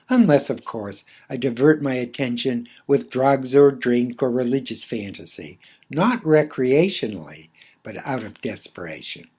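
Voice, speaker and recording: A man reading his own philosophical essay aloud in a measured, deliberate, formally literary way. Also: mid-range voice, a little mellow.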